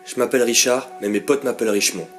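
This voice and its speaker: A man in his thirties reads a scripted voice-over with a dry, self-mocking wit. Music plays clearly underneath his steady, mid-pitched voice. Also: quick pace. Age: 30s. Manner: wry, self-mocking humour. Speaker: fishing vlogger. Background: background music.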